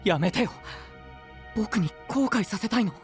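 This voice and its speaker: An actor playing a gentle young knight burdened by devotion, in an anime-style voice drama. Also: male voice, high voice, animated, measured pace, faint music behind. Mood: desperate.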